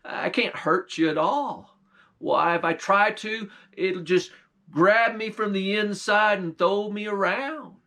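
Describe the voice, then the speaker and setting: A male storyteller voicing a big, cursed bear who talks in a low, soft, mournful way. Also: slow, exaggerated voice. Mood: pleading. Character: big strong cursed bear, hungry and sorrowful.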